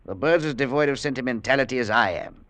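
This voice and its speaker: An actor playing a brusque, coldly logical, misanthropic professor in an old-time radio drama, with clipped, imperious delivery. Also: male voice, medium pitch, fast, background noise, close to an everyday voice. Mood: coldly dismissive. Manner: clipped, blunt, imperious, dismissive.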